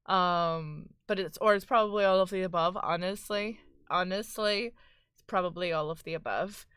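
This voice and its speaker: A woman streamer who sounds congested and hoarse, chatting casually with her viewers and thanking them one by one. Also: fairly low pitch, moderate pace, a bit bright, dry recording, clean studio sound. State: congested, voice worn out. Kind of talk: casual live-stream chat.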